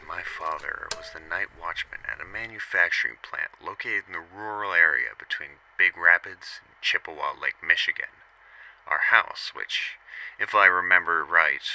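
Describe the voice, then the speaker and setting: A man voicing a grown man who recounts, in a measured first-person telling, what his father saw one night when he was a boy. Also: sits low, bright, forceful tone, no room sound, exaggerated voice, rough recording. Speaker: voice actor reading a witness letter. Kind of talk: first-person storytelling narration.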